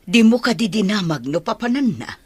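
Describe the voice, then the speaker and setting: A woman's voice: medium pitch, animated, brisk, darkish tone, slightly rough, no echo. A woman playing a worried, questioning mother in an audio drama, fretful and probing, with a northern Philippine accent. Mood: anxious.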